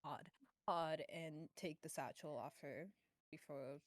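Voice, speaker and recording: Low-voiced woman playing a tabletop game. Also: slow pace, dry recording. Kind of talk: casual game chat. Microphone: online voice call.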